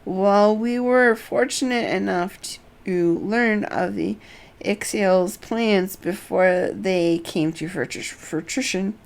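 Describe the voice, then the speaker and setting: A woman's voice: mid-range voice, slow pace, gravelly. A woman voicing a serious, businesslike strategist from a fantasy game, formal and explanatory.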